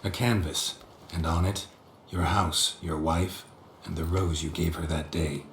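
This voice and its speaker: An actor playing a gruff, stoic middle-aged monster hunter in a video game, gravelly and deadpan with clipped phrases. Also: male voice, low-pitched, measured pace, echoey room, slight background noise. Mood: matter-of-fact.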